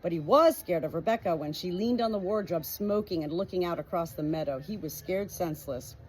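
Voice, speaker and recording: A woman with a slightly husky voice narrating a storybook from a script. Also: on the low side, fairly quick, darkish tone, slight background noise, low-quality recording. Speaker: audiobook reader.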